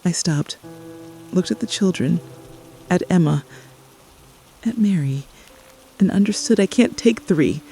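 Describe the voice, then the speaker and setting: An actress playing a guilt-ridden, traumatized mother in an apocalypse radio drama, confessing haltingly and repeating her phrases in distress. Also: fairly low pitch, animated, gravelly, mellow, poor audio. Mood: grieving, guilty.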